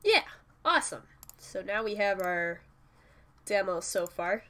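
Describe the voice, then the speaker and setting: A woman's voice: mid-range voice, animated, unhurried, sharp tone. Stuffy-voiced woman chatting through a tutorial. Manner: chatty, laughing, mildly swearing asides. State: stuffy from a cold. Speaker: music producer teaching vocal synth tuning. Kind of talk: casual screen-share tutorial.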